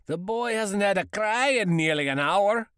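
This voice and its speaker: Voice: mid-range voice, animated, normal speed, fairly bright tone, smooth, no echo, studio quality, natural voice. An actor voicing a mocking dwarf miner who delivers theatrical, scornful insults. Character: gruff, mocking dwarf miner bullying a beardless companion.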